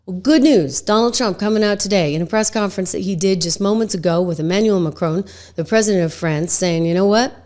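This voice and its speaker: A middle-aged woman hosting a political talk show, slightly hoarse from a cold, talking emphatically and conversationally with lots of "like" fillers. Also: medium pitch, fairly quick, hardly any pauses, darkish tone. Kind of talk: opinionated political commentary.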